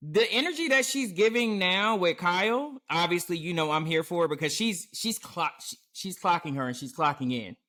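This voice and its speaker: Bright, lively man hosting a podcast. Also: high voice, normal speed, few pauses. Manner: animated, quotes others in raised voices. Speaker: podcast host. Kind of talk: casual chat. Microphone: remote recording setup.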